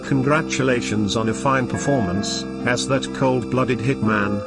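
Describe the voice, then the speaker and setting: A man's voice: medium pitch, unhurried, creaky, over loud music. A man hosting an audio theatre show in a formal, scripted style, addressing the listeners. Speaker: host.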